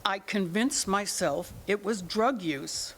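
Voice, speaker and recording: A woman acts out an older mother in a staged drama reading. She is defensive and evasive, deflecting and arguing her side at a middling pitch. There is some room noise behind her. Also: slow pace. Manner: deflecting, argumentative, talks in analogies. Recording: noisy room ambience.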